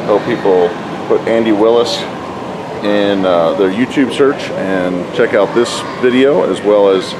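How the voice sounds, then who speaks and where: A man with a somewhat low voice strolls around a display, chatting easily and filling gaps with ums and compliments. He is caught on a handheld camera inside a farm toy show hall. Loud background noise from the hall sits under everything he says. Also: measured pace. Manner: relaxed, chatty, lots of fillers and compliments. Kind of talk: casual walk-around interview.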